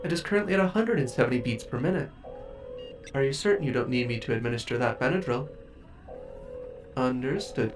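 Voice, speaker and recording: A man's voice: on the high side, far from the mic, natural voice, low-quality recording. A man playing an obsessive, possessive ship AI in a scripted ASMR roleplay, speaking in formal, precise, coldly polite phrases. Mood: feigned alarm, then menacing, wounded. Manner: formal, precise, clinical wording. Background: music.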